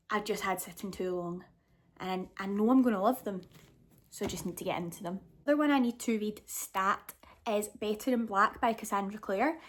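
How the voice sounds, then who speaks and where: Woman, medium pitch, casual vlog chat, gushing and enthusiastic. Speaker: book vlogger. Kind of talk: casual chatty book review to camera.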